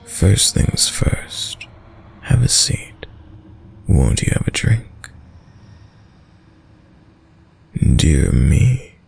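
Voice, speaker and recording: A man playing a suave, predatory, dominant vampire lord in an intimate audio roleplay, with a very deep, gravelly voice and a courtly, seductive, menacing manner that lingers over words. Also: a little mellow, heavily acted. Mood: teasing, insistent.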